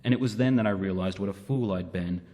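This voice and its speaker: Male actor as robot storyteller, reflective, rueful radio drama narration, lowish voice, big echoing space. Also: fast, mellow, close to an everyday voice. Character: self-doubting male robot recounting his own downfall. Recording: long reverberant hall sound.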